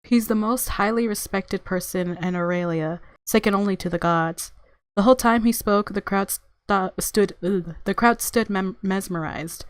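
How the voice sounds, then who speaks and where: A woman's voice: medium pitch, normal speed, no room sound. Woman narrating a game, close and dry. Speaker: gaming video host. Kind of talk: reading visual novel narration aloud with casual reactions. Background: game music.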